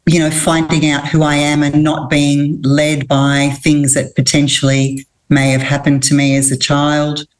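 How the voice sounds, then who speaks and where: A middle-aged Australian woman, a women's coach, answering interview questions in a thoughtful, earnest way with pauses and restarts. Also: sits low, level tone.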